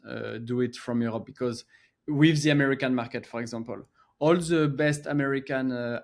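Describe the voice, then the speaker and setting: A middle-aged man from France with a French accent, a company co-founder answering podcast questions with frequent fillers and restarts. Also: medium pitch. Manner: halting, many filler pauses and restarts. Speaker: co-founder and gallery guest. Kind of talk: podcast interview.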